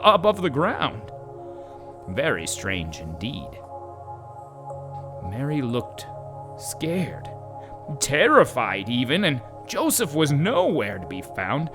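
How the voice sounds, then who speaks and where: A man's voice: mid-range voice, heavily acted. A man playing an observant, easily startled donkey who tells his story in a wry, bemused way for a children's audio drama.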